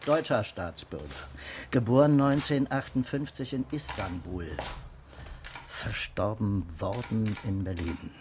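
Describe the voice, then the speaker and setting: A man's voice: on the low side, unhurried, noisy background, lightly acted. Man playing dry, clipped police detective. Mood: dry, matter-of-fact. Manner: clipped, matter-of-fact briefing. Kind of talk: naturalistic radio crime drama acting.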